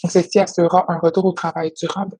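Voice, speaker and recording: Husky, mellow woman. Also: lowish voice, little pitch movement, dry recording. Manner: measured, formal, explanatory. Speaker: occupational therapist. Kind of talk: professional phone consultation, prepared report.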